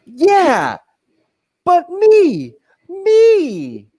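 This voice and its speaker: A man's voice: very high-pitched, wildly swooping pitch, extremely slow, mellow, full character voice. A middle-aged man playing a whiny, self-centred, earnest adventurer in a comedic radio play over a video call. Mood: whiny, self-pitying. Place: room at home, on a video call.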